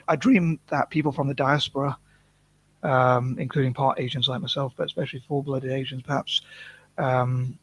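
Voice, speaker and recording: A man talking in a rambling, self-conscious vlog monologue, from the UK, monotone and full of restarts and fillers. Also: medium pitch, breathy edge, poor audio. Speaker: vlogger.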